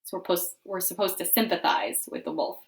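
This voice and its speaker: A woman's voice: fairly low pitch, level tone, brisk. A woman reading a picture book aloud, stepping out in a conversational way to comment and put questions to her listeners. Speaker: storybook reader.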